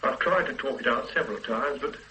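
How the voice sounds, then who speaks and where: An actor playing a troubled older man who is about to become a grandfather, speaking in a halting, subdued way in a radio drama. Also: medium pitch, pushed and bright, distant mic, slight background noise, lightly acted, poor audio. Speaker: widowed-age office man becoming a grandfather. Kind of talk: 1980s radio drama acting.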